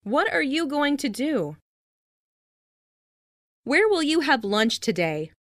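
A woman reads scripted English practice phrases in a clearly enunciated, instructional way. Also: sits high, big pitch swings, slow pace, fairly bright tone, no echo, studio quality. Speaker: language lesson narrator.

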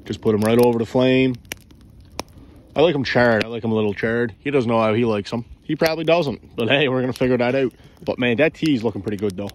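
A man's voice: medium pitch, quick pace, slight background noise. Chatty Newfoundland man outdoors. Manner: enthusiastic, chatty, addresses viewers as guys. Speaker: outdoor vlogger. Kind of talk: casual outdoor vlog chat. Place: outdoors by a river in snow. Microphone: phone or action camera held close.

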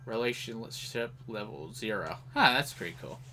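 A man's voice: fairly low pitch, expressive, moderate pace, bright, forceful tone, slight background noise. A man giving casual, rambling live game commentary in an offhand way. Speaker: gameplay host.

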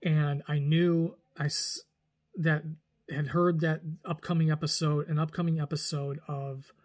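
A man's voice: a little high, breathy edge. Forties guy vlogging from home, chatty and rambly, keeps restarting sentences, phone mic up close. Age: 40s. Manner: rambling, animated, lots of restarts and hand gestures. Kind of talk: casual talking-head vlog. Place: small room indoors at home.

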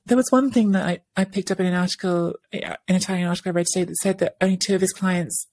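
A woman's voice: lowish voice, fairly even intonation, fast, darkish tone. A woman in her thirties co-hosting a podcast over a video call, talking thoughtfully with many restarts and fillers. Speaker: podcast co-host. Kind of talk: casual podcast discussion. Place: home room with bookshelves, indoors. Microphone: earphone headset on video call.

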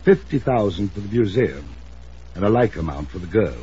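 An American actor playing a wealthy, middle-aged, domineering and hot-tempered uncle in an old-time radio drama, pompous and blustering. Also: male voice, lowish voice, animated, normal speed, dark tone, lightly acted. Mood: composed, grave. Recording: dull, hissy old radio broadcast.

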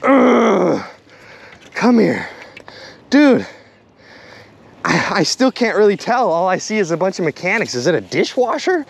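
A young man vlogging outdoors by a river, exclaiming excitedly to his viewers as he goes, recorded on a body-mounted action camera. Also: high-pitched, unhurried, gravelly, pauses often, slightly rough. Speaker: magnet fishing vlogger. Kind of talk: casual excited vlog narration.

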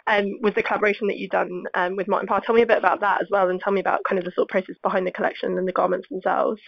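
A woman's voice: mid-range voice, flat delivery, racing, vocal fry. A woman asking questions as the interviewer in a casual conversation. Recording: dull, lo-fi sound.

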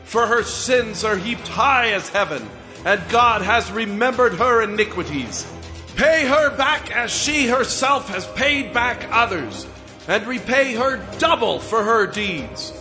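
A man's voice: extremely high pitch, animated, slow pace, music in the background, heavily acted. A man playing a stern voice from heaven in a dramatized audio Bible, solemnly commanding and pronouncing judgment.

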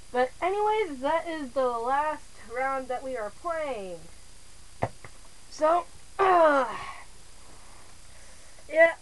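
A woman's voice: high voice, expressive, extremely slow, pauses often, a little hiss or hum, low-quality recording. A woman hosting a casual gaming video, laughing and joking crudely as she reads cards aloud. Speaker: gaming channel host. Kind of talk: casual gameplay chat.

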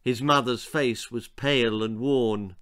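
A man in his sixties reading a children's story aloud with dramatic, expressive narration, savouring the words. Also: mid-range voice, unhurried, clear, resonant tone. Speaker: author reading own stories. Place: small book-lined room indoors.

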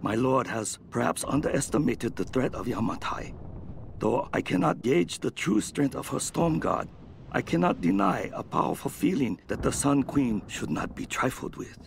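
Wary ambassador, gravelly and expressive. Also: male voice, mid-range voice, slight background noise. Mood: wary admiration. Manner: measured, dramatic, formal. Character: grave, wary male ambassador spying at a foreign court. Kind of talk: acted reading of a journal. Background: music.

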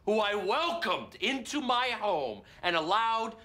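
A man's voice: high-pitched, sing-song, a little slow, pushed and bright, full character voice. A man acting in a comedy-drama as a combative, sarcastic American talent agent who rants profanely. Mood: bitter ranting. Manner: aggressive, sarcastic ranting. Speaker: actor in a comedy-drama series. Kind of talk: scripted screen acting.

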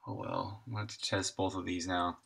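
Man, thirties, lowish pitch, bright forceful voice, casual streamer chat, thinking aloud while coding. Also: little pitch movement, a little fast, gravelly, slightly rough. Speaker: game developer live streaming.

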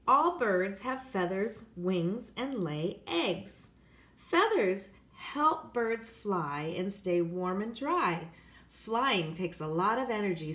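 A woman's voice: medium pitch, roomy sound. An American woman teacher reading aloud to children, explaining and rephrasing facts as she goes. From United States. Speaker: elementary school teacher. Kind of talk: read-aloud lesson for children.

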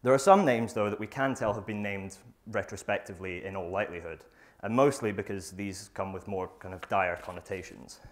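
A young man gives a research talk to an audience in a lecture theatre, speaking into a lapel mic. His voice sits a little low, and he reads from his notes, halting often on fillers and restarting phrases. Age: young adult. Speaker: student presenter. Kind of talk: academic conference talk.

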